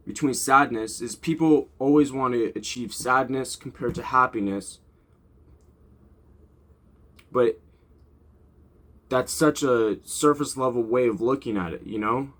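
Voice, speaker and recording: A man's voice: medium pitch, a bit bright. A young man giving casual, off-the-cuff motivational talk as a vlogger, rambling and informal with blunt swearing.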